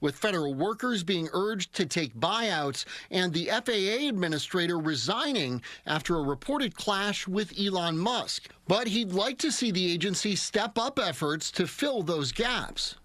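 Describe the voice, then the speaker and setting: A man's voice: sits high, lively intonation, unhurried, hardly any pauses, a bit bright, no room sound. An American man working as a television reporter, delivering scripted news narration and live reporting.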